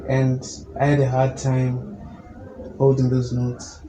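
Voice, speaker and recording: A young man with a low voice, a musician answering interview questions in a calm, measured way. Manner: calm, measured, explains at length. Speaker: musician guest. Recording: echoey room with background noise.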